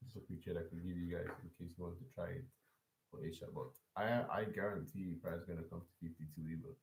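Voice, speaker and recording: A man's voice: deep voice, steady tone, quick pace. A man live-streaming a forex chart breakdown and talking loosely to his chat, with restarts and repeats. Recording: echoey room.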